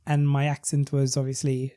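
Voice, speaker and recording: A man's voice: mid-range voice, monotone, moderate pace, dark tone, clear, resonant tone. A man in his late thirties from Sri Lanka, a podcast guest in casual conversation who often stumbles and repeats words before finishing a thought. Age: 30s. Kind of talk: casual interview chat.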